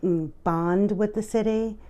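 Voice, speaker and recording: A woman's voice: mid-range voice, steady tone, a little slow, mellow. A woman from New York City with a New York accent, reflecting candidly in an interview. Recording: music behind the voice.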